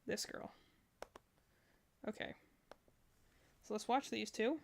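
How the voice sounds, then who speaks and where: A woman with a fairly high voice gives casual streamer commentary. She rambles as she thinks aloud, chatting through her reactions in an informal way. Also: fairly even intonation, slow, vocal fry, slight background noise. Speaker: streamer reacting to game character demos.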